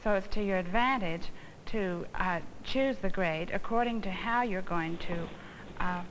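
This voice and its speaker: An American woman giving an instructional demonstration, explaining things in a deliberate, didactic way. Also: mid-range voice, a bit bright. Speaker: instructional film presenter, home economist. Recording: noisy old instructional film soundtrack.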